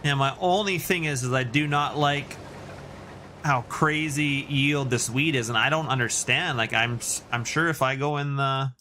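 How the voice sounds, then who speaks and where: A man's voice: medium pitch, moderate pace, pushed and bright, a little hiss or hum. A Canadian man chatting casually and in a rambling way as he hosts a video game livestream and responds to his chat. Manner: rambling, reacting to chat messages.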